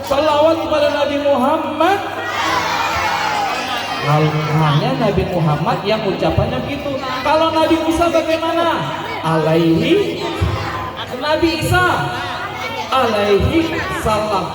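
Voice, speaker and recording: A man addressing children as a storyteller, playful and exclaiming, with drawn-out words and call-and-response. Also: very high voice, big pitch swings. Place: large reverberant space before an audience of children. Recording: echoey hall, distant PA sound, children's crowd.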